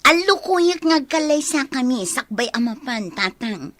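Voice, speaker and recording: Animated gold-digging young woman. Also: sits high, normal speed, dry recording. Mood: scheming. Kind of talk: radio comedy-drama acting.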